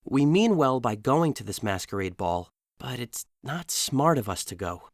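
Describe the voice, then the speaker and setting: A man's voice: mid-range voice, big pitch swings, measured pace, dry recording, studio quality. An actor playing a brooding, lovesick young nobleman in an audio drama, earnest and reluctant. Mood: gloomy.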